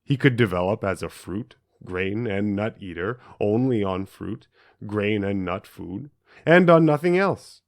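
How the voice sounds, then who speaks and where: A man reading scripted audiobook narration in deliberate, measured phrases with emphatic stresses. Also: lowish voice, animated. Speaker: audiobook reader.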